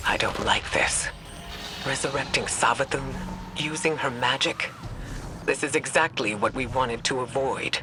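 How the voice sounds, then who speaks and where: An actor playing a wary, serious ally in a video game, earnest and guarded. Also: male voice, mid-range voice, measured pace, over music, close to an everyday voice. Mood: wary, stern. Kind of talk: video game character acting.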